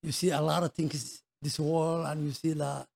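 Higher-pitched Somali-accented man, creaky voice. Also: flat delivery, a little mellow. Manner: halting, repetitive non-native English. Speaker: interview guest. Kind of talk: casual conversational storytelling.

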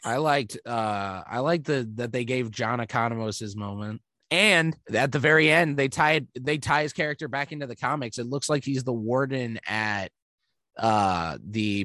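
A man's voice: mid-range voice, sharp tone, no echo. Podcast co-host guy, mid voice, dry close sound, kinda searches for words. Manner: thinks aloud, searching for words. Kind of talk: casual chat.

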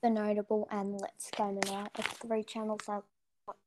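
Young woman gamer, guest, halting, lots of ums; cheap mic sound. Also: medium pitch, little pitch movement, noisy background. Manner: hesitant, many ums and trailing sentences. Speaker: interview guest, gaming YouTuber. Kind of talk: casual chat. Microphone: cheap built-in mic.

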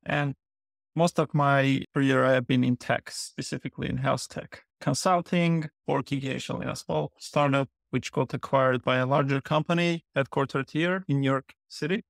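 A man speaks as a podcast guest, building his answers in measured, short phrases. His voice has a gravelly, fry-edged texture and sits at an ordinary middle pitch. The sound is close and dry. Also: fairly even intonation, dry recording. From Armenia. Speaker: guest, product lead. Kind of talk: podcast interview.

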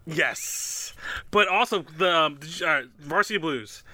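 A man in his thirties chatting casually with friends, animated and playful, often laughing. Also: a little high, moderate pace, no echo. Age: 30s. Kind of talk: casual chat.

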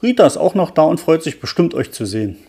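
A man's voice: a little high, sing-song, fast, vocal fry, darkish tone, breathy edge, full character voice. A man voicing a cheerful, friendly male friend answering a phone call in an audiobook reading.

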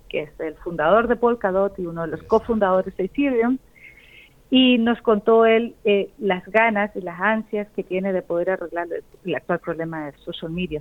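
A woman raised in the United States, giving long, lively explanations as a guest in a radio interview. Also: lowish voice. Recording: phone-like narrow sound.

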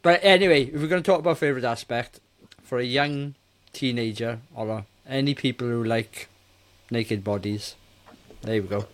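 A man's voice: mid-range voice, normal speed. A man in his forties co-hosting a casual film review podcast, talking in a rambling, hesitant way. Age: 40s.

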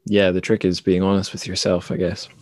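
A man's voice: sits low, flat delivery, a little fast, darkish tone. A young man hosting a podcast, chatting casually with his guest in an interview. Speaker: podcast host.

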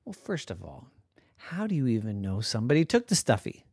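A woman's voice: very deep voice, big pitch swings, quick pace, no echo, clean studio sound, pitched below the performer's own voice, full character voice. A woman voicing a sleepy young girl who whispers and grows exasperated with a late-night caller. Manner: hushed, exasperated.

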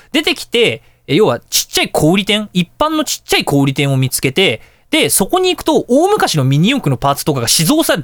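High pitched guy doing a casual radio show monologue, really animated storyteller, dry close sound. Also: dry recording. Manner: animated storyteller with exclamations and sound-effect words.

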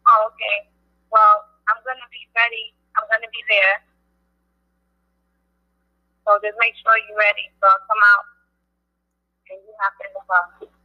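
A woman calling her husband on the phone, brisk and practical. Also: mid-range voice, flat delivery. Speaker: caller, host's wife. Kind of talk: phone call. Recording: muffled phone line.

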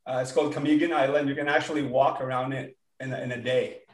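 A man from the Philippines speaks as an interview guest, easygoing and friendly, his talk loose with little fillers. He sits back from the mic in a room that echoes, so his mid-pitched voice comes across roomy and distant. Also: fast talker. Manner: relaxed, friendly, with frequent "uh" and "like". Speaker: podcast guest.

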